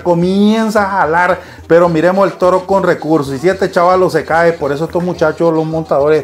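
A man with a Nicaraguan accent calling bull riding, with fast play-by-play that rises to shouts. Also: fairly high pitch. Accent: Nicaraguan Spanish. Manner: rapid-fire calls rising to shouts. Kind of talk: excited live sports commentary.

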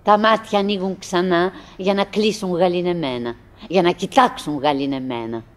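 An actress playing a wistful middle-aged woman who chatters bravely to her husband in halting, theatrical radio drama monologue. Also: on the low side, natural voice. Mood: musing, wistful. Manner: halting, fragmented, dramatic, swinging between murmurs and calls.

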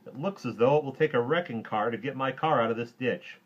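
A man's voice: mid-range voice, fairly quick, highs cut off, pitched above the performer's own voice, close to an everyday voice. A man voicing a modest, polite grown-up superhero in a children's storybook reading. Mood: grateful.

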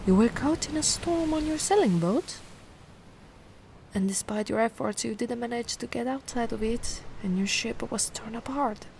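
A woman from Italy voicing a cute, kindly, anxious giant mermaid in an ASMR roleplay, flustered and softly cooing. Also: on the high side, moderate pace, creaky, a little hiss or hum. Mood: playful, flustered.

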